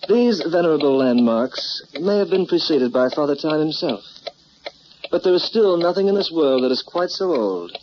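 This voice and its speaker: An actor playing a solemn, portentous narrator, measured in delivery, introducing a vintage radio drama. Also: male voice, on the high side, animated, close to an everyday voice. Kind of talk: 1940s radio scripted narration. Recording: muffled, lightly noisy old radio recording.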